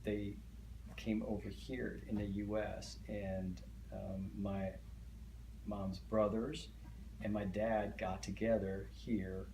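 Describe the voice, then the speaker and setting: Middle-aged man, first language Hungarian, low monotone voice, halting with frequent fillers, echoey room with faint hiss. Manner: hesitant, many fillers and restarts. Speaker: interviewee. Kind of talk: oral history interview.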